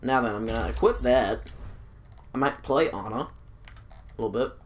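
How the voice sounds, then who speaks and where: A man's voice: mid-range voice, moderate pace. A young man with a stuffed-up nose and throat, chatting casually while playing a video game, rambling and apologizing as he goes. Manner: rambling, self-deprecating, apologetic. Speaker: gaming YouTuber. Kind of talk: casual gameplay commentary.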